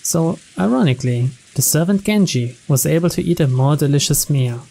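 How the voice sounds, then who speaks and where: A man's voice: on the high side, moderate pace, creaky, background noise. A man reading a mystery story aloud as narrator, in a scripted narration style.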